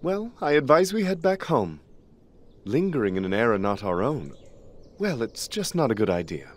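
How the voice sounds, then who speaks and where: A man's voice: medium pitch, sing-song, moderate pace. A man playing a prim, refined male angel attendant in an anime game, polite and fussy. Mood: prim, composed.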